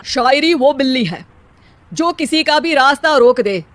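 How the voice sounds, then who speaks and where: A woman's voice: sits high, lively intonation, unhurried. A woman voicing a lazy, cheeky young man in a cartoon who theatrically declaims his rhymes. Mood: showy. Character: lazy young man, cheeky self-satisfied amateur poet.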